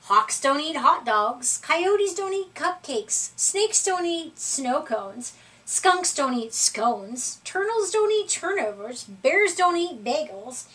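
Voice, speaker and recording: A woman's voice: high voice, big pitch swings, takes it slowly, fairly bright tone, voice pushed up, full character voice. A woman voicing a bossy, scoffing bunny and animal friends in a storybook, emphatic and drawn out, at some distance from the microphone. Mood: mocking, insistent.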